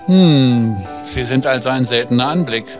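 A man's voice: medium pitch, moderate pace, lightly acted. Guy reading awkward young driver dude telling his story in a visual novel, music behind. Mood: curious, uneasy. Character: young male driver, narrating protagonist, awkward and searching. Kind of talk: visual novel read aloud.

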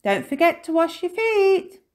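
A woman's voice: high-pitched, sing-song, normal speed, a little mellow, voice pushed up. A woman voicing a cheerful, fussy, dotty mother in a children's storybook, with emphatic singsong delivery. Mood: cheerful, breezy.

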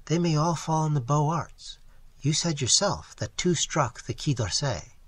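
A man voicing an anxious, tender young woman in an audiobook, speaking softly in a hushed, nervous, pleading way. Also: a little high, expressive, measured pace, breathy edge, no room sound. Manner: soft, hushed, nervous, faltering. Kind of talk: literary audiobook character dialogue.

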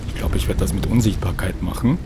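A man, a little hoarse, calmly musing as he comments on his own video game play. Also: sits low, fast talker, background noise. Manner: calm, musing, talks to the viewer. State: a bit hoarse. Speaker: let's play host. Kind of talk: gameplay commentary.

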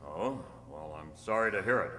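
A man playing a calm, upright masked cowboy hero with measured, formal delivery, in old-time radio style on stage. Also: low-pitched. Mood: persuasive. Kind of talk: old-time radio drama acting read from script. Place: stage in a community hall. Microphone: stand microphone. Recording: echoey hall, humming background noise.

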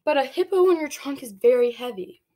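A woman's voice: high-pitched, lively intonation, brisk, dark tone, a little hiss or hum. A young woman reading a picture book aloud to small children, stopping to explain and ask them questions. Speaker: preschool read-along storyteller. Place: small dim bedroom, indoors.

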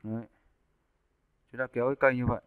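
A man giving a casual, step-by-step software tutorial as an animation instructor. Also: mid-range voice, little pitch movement, quick pace, clear, resonant tone, dry recording. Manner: informal step-by-step explaining. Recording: muffled narrowband phone-like audio.

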